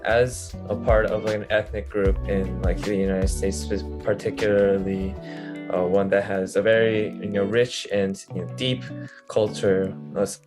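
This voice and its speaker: A young man answers questions about campus life, his voice sitting low. He talks hesitantly, with frequent fillers, over clear background music. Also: little pitch movement, measured pace. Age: young adult. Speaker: student interviewee. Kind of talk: casual interview answers.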